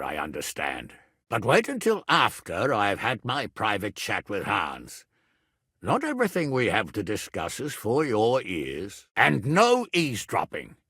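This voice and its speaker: An actor voicing a gruff, hot-tempered but loyal middle-aged medieval nobleman in a video game, with a rough, gravelly voice and a blunt, lordly, emphatic manner. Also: mid-range voice, bright, forceful tone, dry recording, clean studio sound, exaggerated voice. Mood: gruff, subdued. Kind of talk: dramatic video game voice acting.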